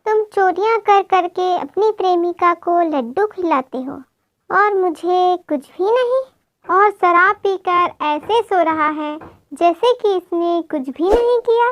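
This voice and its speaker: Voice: extremely high pitch, lively intonation, takes it slowly, fairly bright tone, lo-fi sound, full character voice. A performer voicing an aggrieved female kite bird, a wronged and scolding wife, in a Hindi cartoon story. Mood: angry scolding.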